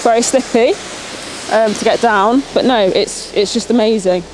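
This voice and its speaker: A young woman with a Nottingham accent, sniffly and a bit nasal, chatting excitedly as a travel vlogger while out walking. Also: on the high side, normal speed, low-quality recording. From Nottingham, England. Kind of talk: chatty walk-and-talk vlog. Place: outdoors on a countryside walk, rain.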